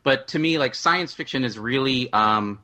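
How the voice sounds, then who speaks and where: A man's voice: medium pitch, normal speed, sharp tone. A man explaining his views earnestly as a panelist in a discussion.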